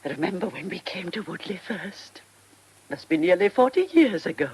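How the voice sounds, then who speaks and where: An actress playing an elderly genteel former stage star, theatrical and gracious, with an upper-class English accent and a husky, breathy voice, in a British radio drama. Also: female voice, lowish voice, lively intonation, normal speed, darkish tone, slight background noise, close to an everyday voice. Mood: wistful nostalgia. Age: 60s or older.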